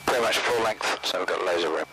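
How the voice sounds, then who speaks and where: A man's voice: sits low, fast talker. A man piloting a small plane, thinking aloud through checklists in clipped, procedural phrases on a headset mic. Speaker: private pilot. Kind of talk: cockpit checklist talk while flying. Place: small aircraft cockpit. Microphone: aviation headset. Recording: loud light-aircraft engine and cockpit noise.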